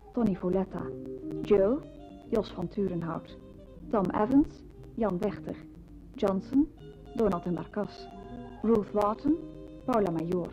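A woman's voice: mid-range voice, warm tone, poor audio. A woman announcer formally reading scripted radio play credits.

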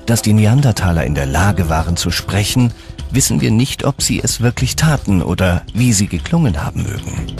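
A man's voice: lowish voice, expressive, moderate pace, a little mellow, soft background music. A man reading scripted documentary narration.

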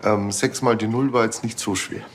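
A nosy male bakery café worker played by a man in a TV crime comedy. His voice is fairly low, casual and unbothered, cheekily teasing whoever he talks to. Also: fairly even intonation, normal speed, slight background noise, lightly acted.

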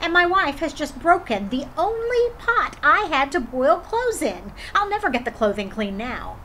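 A woman's voice: high-pitched, sing-song, moderate pace, pushed and bright, a little hiss or hum, pitched above the performer's own voice, exaggerated voice. A woman voicing a troubled, plaintive washerman in a children's folktale. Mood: exasperated.

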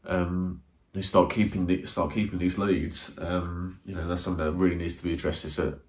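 A man sits in a small room and talks his team's match over to the camera. His voice is deep and level, with little rise or fall. He hesitates often, restarting his sentences. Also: little pitch movement, normal speed. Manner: hesitant, many ums and restarts, earnest. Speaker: football fan reviewer. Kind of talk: post-match review to camera. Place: small indoor room, plain wall. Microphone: camera in front, seated.

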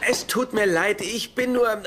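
A man's voice: sits high, a little slow, heavy background noise. An actor playing a pedantic, easily offended young man in a dubbed sitcom, with precise, pompous, formal wording. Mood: suspicious, earnest.

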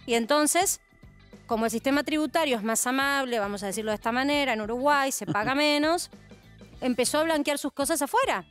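A woman's voice: high voice, a little fast, fairly bright tone, faint music behind. A woman in her thirties with an Argentine accent, hosting a studio news panel and explaining with rhetorical questions. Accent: Argentine Spanish. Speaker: news host.

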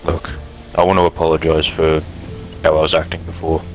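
A man's voice: extremely low pitch, completely flat delivery, brisk, soft background music. A man playing a bitter, grieving apocalypse survivor, blunt and downcast, in an improvised game roleplay. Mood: apologetic, worried. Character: bitter, grieving young male apocalypse survivor seeking his brother.

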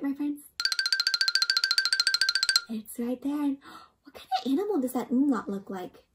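A young woman reading a picture book aloud to children in an expressive, interactive way, often asking the listener questions. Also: high-pitched. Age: young adult.

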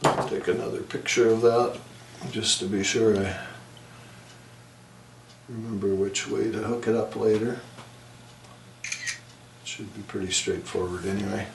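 A man's voice: fairly low pitch, fairly even intonation, measured pace, creaky, pauses often. A middle-aged man giving matter-of-fact, casual commentary as he works on a repair, thinking aloud in short remarks. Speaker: repair technician and presenter. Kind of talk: casual hands-on repair commentary while working. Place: indoor electronics repair workshop. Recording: roomy, distant mic, workshop noise.

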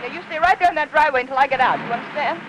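An actress in her thirties with an American accent playing an anxious, pleading housewife in a fifties film melodrama, halting under strain. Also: sits high, close to an everyday voice. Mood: flustered, scolding. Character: anxious, pleading suburban housewife guarding a secret. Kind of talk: 1950s melodrama film acting. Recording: hissy old 1950s film soundtrack.

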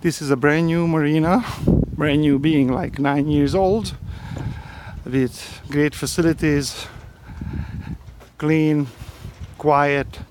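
A man casually narrating a walking tour of a marina, talking slowly with frequent fillers, recorded outdoors on a handheld phone. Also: on the high side, fairly even intonation. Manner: slow, halting, with frequent fillers. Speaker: sailboat owner vlogger. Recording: loud wind noise outdoors.

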